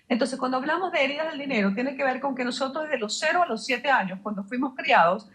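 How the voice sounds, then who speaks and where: Mujer rápida de voz algo aguda. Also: female voice, high voice, quick pace, a bit bright. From Venezuela. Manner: fluent, emphatic, uses rhetorical questions. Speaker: guest, mindset mentor. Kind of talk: interview answers, explanatory.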